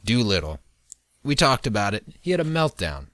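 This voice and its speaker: A man's voice: on the low side, sing-song, moderate pace, fairly bright tone, no echo, studio quality. A young man co-hosting a podcast, joking and rambling about sports, getting worked up as he argues. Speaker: podcast co-host. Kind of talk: casual sports chat.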